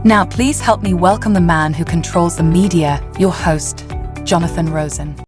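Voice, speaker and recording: Slow emphatic woman announcer over music. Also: lowish voice. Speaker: show announcer. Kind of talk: scripted intro announcement.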